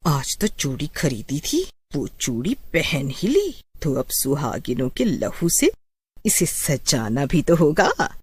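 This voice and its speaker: Woman doing a slow, menacing witch bangle seller. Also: sits low, huge pitch swings, slightly rough, dry recording, studio quality, exaggerated voice. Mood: quiet menace. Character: menacing female witch bangle seller, vengeful undead ghost.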